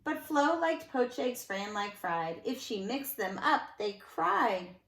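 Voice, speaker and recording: Animated woman reading a storybook, slightly high voice. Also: slow. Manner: animated, dramatic emphasis, conversational asides. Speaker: nursery school teacher reading a storybook aloud. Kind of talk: expressive read-aloud storytelling for young children. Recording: echoey room sound.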